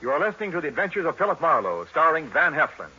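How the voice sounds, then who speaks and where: A man's voice: a little high, big pitch swings, very fast, sharp tone, breathy edge. A man announcing and selling in a 1940s radio style, emphatic and polished. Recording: muffled vintage radio broadcast.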